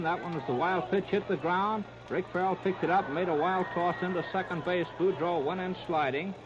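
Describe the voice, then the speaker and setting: An American man calling baseball play-by-play in 1930s radio style, in clipped running description. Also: on the high side. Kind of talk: 1930s radio sports commentary. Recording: muffled, very noisy 1939 radio disc.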